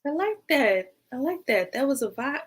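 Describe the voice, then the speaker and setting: A woman's voice: high voice, very animated, normal speed, husky. A woman in her twenties hosting a podcast, asking questions in casual, playful banter with some teasing. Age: 20s. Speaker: podcast host. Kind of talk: casual interview chat.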